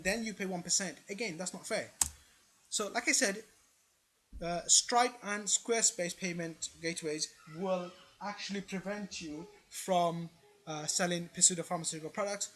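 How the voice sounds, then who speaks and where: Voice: mid-range voice, fairly bright tone. An online presenter giving informal explanatory commentary in an opinionated, emphatic manner, often checking in with the listener.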